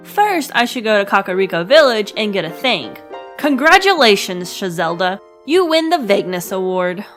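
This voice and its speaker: A woman's voice: mid-range voice, a bit bright. A young adult woman delivering scripted comedic narration like a diary entry, with a sarcastic, crude and emphatic manner. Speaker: host of a comedic gaming web series.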